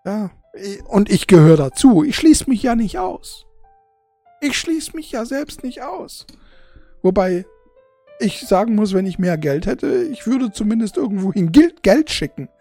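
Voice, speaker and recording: A forty-year-old with a dark, husky voice and plenty of fry talks candidly to viewers. The delivery is halting and repetitive, searching for words, emotional and peppered with casual swearing. It is an unscripted, personal monologue. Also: medium pitch, sing-song, faint music behind. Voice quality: husky, gravelly.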